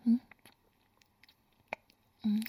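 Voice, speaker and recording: A woman voicing a teasing, doting older lover in a whispered audio roleplay, speaking in a soft, coaxing, breathy whisper. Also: extremely slow, dull audio. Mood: tender, coaxing.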